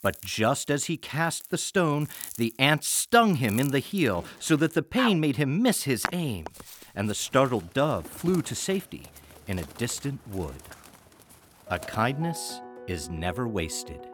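Slow, expressive male storybook narrator. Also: mid-range voice, faint music behind. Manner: measured, expressive. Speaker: fable reader.

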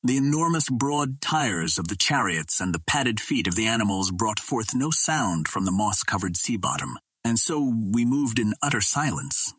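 Husky-voiced man reading a novel. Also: lowish voice, fairly bright tone, no room sound. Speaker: audiobook narrator. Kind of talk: scripted narration of a novel.

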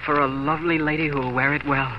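An American actor playing an optimistic young pioneer farmer and husband, earnest and dramatic in 1940s radio style. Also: male voice, on the high side, flat delivery, fast talker, noisy background, natural voice. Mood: affectionate. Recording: muffled 1940s radio recording with music.

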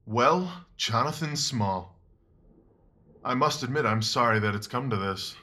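A man playing a composed, shrewd gentleman detective in a Victorian audio drama, speaking in a measured, formal, authoritative way. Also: mid-range voice. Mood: regretful, composed.

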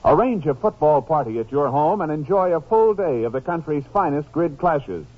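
A man's voice: fairly high pitch, expressive, normal speed, slightly rough. An American man announcing from a script on 1950s network radio, formal and polished. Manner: formal, polished promotional delivery. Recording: muffled vintage radio broadcast, music behind.

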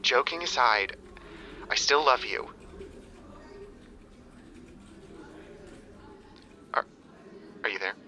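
An actor playing a flirtatious, needy ex-lover in a radio comedy, pleading in a coy, theatrical way. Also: male voice, mid-range voice, expressive, moderate pace, pushed and bright, slight background noise. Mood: earnest, pleading.

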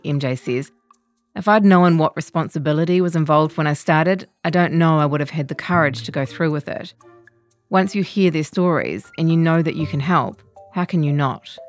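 A woman reading a scripted feature article in a measured, composed way. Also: sits low. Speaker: narrator of a long-read podcast.

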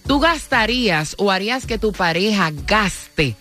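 A woman's voice: mid-range voice, expressive, unhurried, a bit bright. A woman hosting a morning radio call-in show, chatting exuberantly and affectionately with callers. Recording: radio studio with music bed. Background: music.

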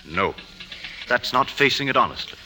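Actor as an earnest suitor, lively intonation. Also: male voice, mid-range voice, normal speed, background noise, natural voice. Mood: hurt, insistent. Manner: earnest, dramatic, insistent. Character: earnest, wounded, insistent male suitor. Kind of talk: 1950s radio drama acting.

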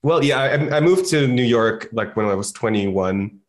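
A man from Switzerland, a musician guest talking on a video call, relaxed and joking, with loose "like"s. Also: medium pitch, normal speed. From Basel, Switzerland. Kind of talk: casual interview chat.

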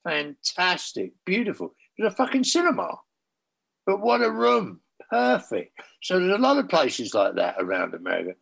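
A man's voice: high voice, huge pitch swings, normal speed, vocal fry, fairly bright tone, breathy edge. An older man reminiscing in a casual interview as a former tour manager, blunt and swearing, with bursts of enthusiasm.

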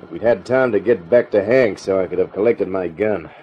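An actor playing a flirtatious, hard-boiled private detective in a 1950s radio drama, with a slangy, wry, breezy delivery. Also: male voice, low voice, brisk, husky, muffled recording. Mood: relaxed banter. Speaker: actor in the lead part of a detective radio serial. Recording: 1950s radio drama recording, music behind.

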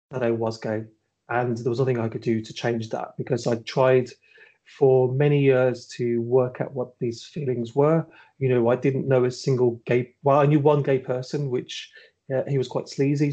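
A man's voice: medium pitch, warm tone, dull audio. A middle-aged man from Birmingham with a Birmingham accent, a podcast guest who talks hesitantly with many fillers and restarts and laughs at himself. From Birmingham, England. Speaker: interview guest. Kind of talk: podcast interview.

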